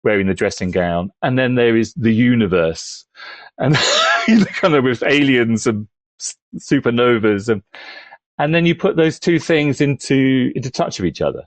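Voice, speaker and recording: A man's voice: mid-range voice, big pitch swings, normal speed, no echo. A man discussing things casually but analytically on a podcast, in a thoughtful, bookish way with hedged phrasing.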